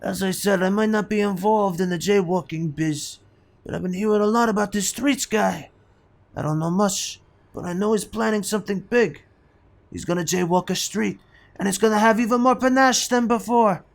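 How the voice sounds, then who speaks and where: A man's voice: high-pitched, moderate pace, vocal fry. A man playing a blustering, touchy, food-obsessed mob boss in a comedy audio play. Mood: confiding. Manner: wisecracking, blustering. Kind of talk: comedic audio drama acting.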